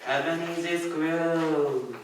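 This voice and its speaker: A young student actor playing a solemn, reproachful male ghost in a radio play, slow and portentous. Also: mid-range voice, fairly even intonation, natural voice. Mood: solemn, eerie. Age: teenager or young adult. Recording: echoey room, far mic, background noise.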